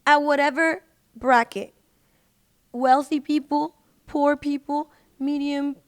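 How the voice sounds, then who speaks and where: Female podcast guest, high voice, slangy and emphatic conversational delivery, very dry close sound. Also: flat delivery, slow, no room sound. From United States. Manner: informal, slangy, emphatic with "like" and "literally". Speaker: podcast guest, independent singer. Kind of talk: casual chat.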